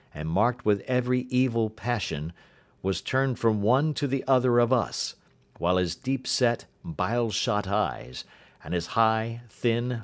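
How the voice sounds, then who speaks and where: A man reading scripted literary narration as an audiobook narrator. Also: lowish voice.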